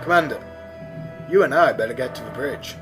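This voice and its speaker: A man playing a composed, courteous rabbit starship captain in a sci-fi audio drama, giving crisp orders. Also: mid-range voice, lively intonation, fairly quick, over music, lightly acted. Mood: commanding.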